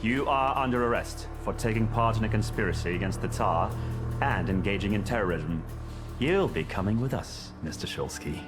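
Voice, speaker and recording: An actor playing a menacing, smooth-talking police chief in a dramatic video game, speaking in a formal, taunting, measured way. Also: male voice, lowish voice, expressive, close to an everyday voice. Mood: stern, composed. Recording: loud music under the voice.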